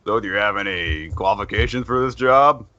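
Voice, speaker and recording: A man's voice: on the low side, a little fast, dull audio, heavy background noise, close to an everyday voice. A man voicing hearty, hammy bit characters, exclaiming his way through a comic fandub. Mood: brisk, businesslike. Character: hearty carriage traveler and brisk freight boss.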